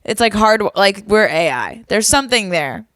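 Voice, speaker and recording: A woman's voice: medium pitch, very animated, normal speed, fairly bright tone, no room sound, clean studio sound. A young woman from Boston co-hosting a podcast, rambling and musing in casual chat. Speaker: podcast co-host. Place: Miami home.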